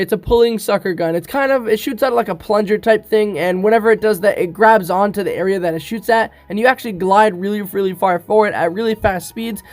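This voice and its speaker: Brief: young male gaming youtuber, high voice, rapid delivery, slightly sick and rough, chatty with fillers, faint music underneath. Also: a little mellow. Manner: chatty, many fillers, keeps saying "guys". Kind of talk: casual game commentary.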